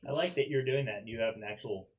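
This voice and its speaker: A man's voice: fairly low pitch, fast, muffled recording, crowd noise. A man speaking casually as a player in a tabletop roleplaying game, describing his character's actions.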